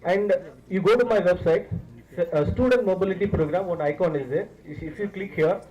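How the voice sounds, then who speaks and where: A man's voice: sits high, a little fast, a little mellow, dull audio. A man with an Indian accent speaks as a visiting university director, giving an explanatory, promotional address to students and running through lists with repeated phrases.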